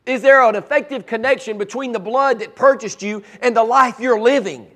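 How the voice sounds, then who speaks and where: A man preaching a sermon, his voice raspy from cheering at ball games and allergies, with a fervent way of building to emphatic, repeated lines. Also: very high voice, normal speed, fairly bright tone. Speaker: pastor.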